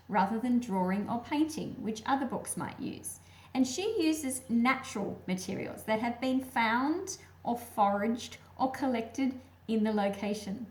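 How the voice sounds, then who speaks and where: Female children's read-aloud presenter, fairly high voice, addressing young listeners directly. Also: a little mellow.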